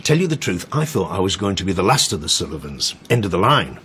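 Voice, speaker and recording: A man's voice: low voice, sing-song, fast, a bit bright, slight background noise, heavily acted. An actor playing a genial, sentimental older father in a radio drama, talking in a hesitant, conversational way. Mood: reflective, sentimental. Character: genial, sentimental older father, semi-retired family furniture boss. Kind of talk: naturalistic radio drama acting.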